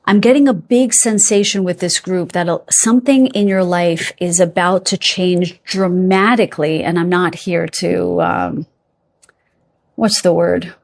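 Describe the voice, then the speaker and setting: A woman with a fairly low voice delivers a psychic card reading straight to her viewers. She drifts from thought to thought, keeps checking in with "okay?", and stops now and then to hunt for a word. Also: fairly even intonation, creaky. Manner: meandering, reassuring, checks in with listeners; pauses to search for words. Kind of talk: spirit guide reading to camera.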